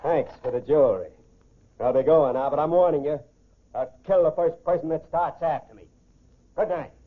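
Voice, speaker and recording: A man's voice: on the high side, moderate pace, lightly acted. Ruthless, boastful holdup man, played by a male actor; commanding, scheming, 1940s radio crime acting, dull vintage recording. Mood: commanding menace. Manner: barking, commanding, threatening. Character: ruthless, boastful gentleman stickup robber, scheming and menacing.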